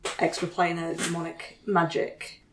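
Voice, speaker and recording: A woman's voice: fairly low pitch, completely flat delivery, measured pace, a bit bright, a little hiss or hum, rough recording. Woman chatting through a game. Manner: thinking aloud. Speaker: tabletop game player. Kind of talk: casual game-table chat.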